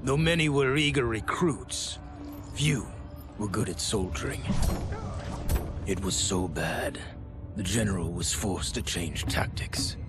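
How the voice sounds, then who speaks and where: A man's voice: lowish voice, takes it slowly, long pauses. An actor playing a fanatically loyal rebel soldier, telling his story in a grave, devoted way for a video game. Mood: grave, ominous. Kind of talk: scripted game story narration. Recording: loud music behind the voice.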